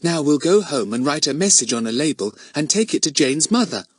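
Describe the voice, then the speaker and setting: A man's voice: fairly high pitch, lively intonation, a little fast, husky, no room sound, studio quality, voice pushed up. A man voicing a sensible, polite schoolgirl in a storybook, earnest and a little prim. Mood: practical.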